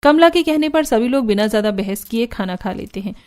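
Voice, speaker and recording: A woman's voice: a little high, expressive, fairly quick, a little mellow. A woman narrator reading a scripted cartoon story.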